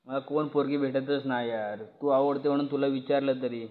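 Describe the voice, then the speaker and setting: A man's voice: medium pitch, fairly even intonation, fairly quick. Muffled young Maharashtrian man, coaxing.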